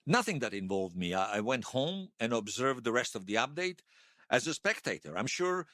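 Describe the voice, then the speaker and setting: An actor playing an earnest, fervent veteran loyalist giving testimony in a fiction podcast, conversational and emphatic. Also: male voice, mid-range voice, sing-song, moderate pace, fairly bright tone, dry recording, studio quality. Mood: reflective. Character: earnest male veteran loyalist recounting an uprising, fervent believer. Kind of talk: fiction podcast monologue told as interview testimony.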